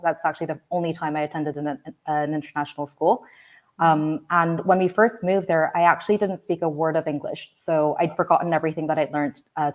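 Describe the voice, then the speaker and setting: A woman's voice: low voice, darkish tone, highs cut off. A Korean-born woman in her thirties, a guest in a casual interview, chatty with frequent ums and laughs.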